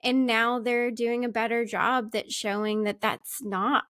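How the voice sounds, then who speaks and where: Soft-spoken woman, slightly high voice. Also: fairly even intonation, unhurried, no echo, clean studio sound. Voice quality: soft-spoken, not loud. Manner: chatty, many "like" fillers. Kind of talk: casual interview chat.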